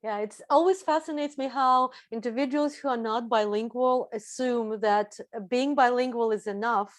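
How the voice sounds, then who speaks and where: Woman, interview host, fairly high voice, dry close sound, friendly questioning. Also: moderate pace, no echo. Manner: friendly questioning with small laughs. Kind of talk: casual interview chat.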